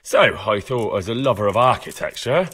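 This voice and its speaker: Earnest young game hero with lively pitch. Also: male voice, moderate pace, slight background noise. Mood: polite, persuasive. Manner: polite, probing, firm. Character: earnest, persuasive young medieval man investigating for others. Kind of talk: video game cutscene acting.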